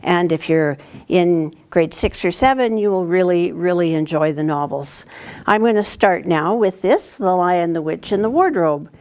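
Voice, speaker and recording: An older woman reads a picture book aloud as a guest storyteller, in an expressive, deliberate way. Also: on the low side. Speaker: town councillor reading to students. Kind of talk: read-aloud storybook narration. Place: indoors, library corner with bookshelves.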